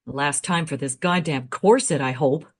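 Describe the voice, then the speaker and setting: A woman's voice: low voice, moderate pace, breathy edge. An actress playing a brash, irreverent woman time traveller with a blunt, sardonic manner in a radio play. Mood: exasperated.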